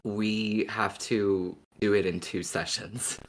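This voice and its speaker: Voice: sits low, steady tone, slow, rough recording. A podcast co-host chatting casually in a giggly, good-humoured way. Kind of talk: casual chat.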